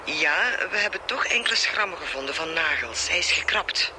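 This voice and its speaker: A Flemish actor playing a matter-of-fact forensic doctor in a radio crime drama. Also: male voice, on the high side, expressive, brisk, background noise, natural voice. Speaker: police doctor.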